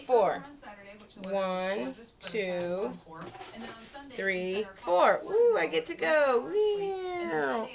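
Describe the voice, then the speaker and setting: Mom at the kitchen table teaching her kid a board game, bit high voice, lots of "hold on", phone on the table, tv chatter behind. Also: lively intonation, very slow, creaky. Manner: patient, instructive, repeats "hold on" and counts moves aloud. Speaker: mother playing a board game with her young daughter. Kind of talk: casual home vlog, explaining and reading game rules aloud.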